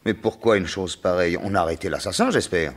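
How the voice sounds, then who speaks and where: An actor playing an authoritative, melancholy baron of about fifty, formal and imperious yet halting, in a French radio mystery in 1950s style. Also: male voice, sits low. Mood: concerned, shocked.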